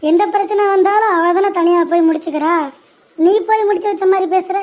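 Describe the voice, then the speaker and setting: A woman's voice: very high voice, fairly even intonation, brisk, darkish tone. A woman voicing a blunt, chiding old grandfather who protects his daughter-in-law in a cartoon parody.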